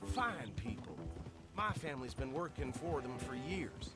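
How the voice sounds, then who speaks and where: An actor playing an anxious, bossy and loyal sheriff's deputy in a video game, with loud music over him. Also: male voice, mid-range voice, very animated, unhurried. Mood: calm, chatty. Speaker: deputy lawman. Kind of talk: video game cutscene acting.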